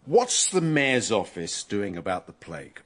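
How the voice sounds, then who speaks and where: A lively, probing questioner. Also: male voice, lowish voice, unhurried. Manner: dry, probing. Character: persistent, polite male writer questioning officials. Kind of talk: radio drama interview.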